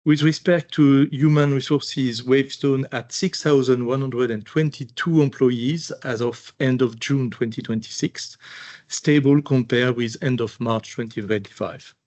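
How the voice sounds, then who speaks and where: A man's voice: mid-range voice, steady tone, measured pace, a little mellow. A man reading a scripted financial presentation in a formal way, as a chief financial officer.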